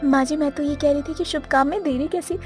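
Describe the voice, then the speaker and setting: A woman's voice: high voice, fairly even intonation, brisk, darkish tone, soft background music, natural voice. A woman playing a naive, eager, carefree young daughter or daughter-in-law, girlish and coaxing, in a story cartoon. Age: young adult. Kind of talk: Hindi moral story cartoon dialogue.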